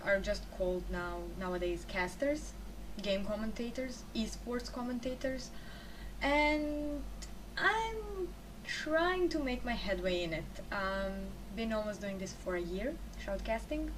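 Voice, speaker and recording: A woman's voice: a little high, unhurried, far from the mic, background noise. A young woman from Croatia with a Croatian accent, speaking English as a second language, chatting loosely in an interview about her work as a game caster, often restarting her sentences. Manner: rambling, self-correcting, chatty. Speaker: esports caster, interview guest. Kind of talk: casual answers to interview questions.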